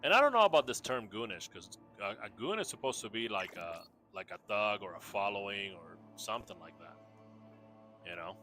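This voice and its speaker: A man from Puerto Rico with a low voice that still has a bright, forward edge talks through his game builds in a relaxed, meandering way. He speaks slowly, circling back with fillers and restarts. Soft music plays faintly underneath. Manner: rambling, laid-back, many fillers and restarts. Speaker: gamer showing off character builds. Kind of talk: casual game showcase chat.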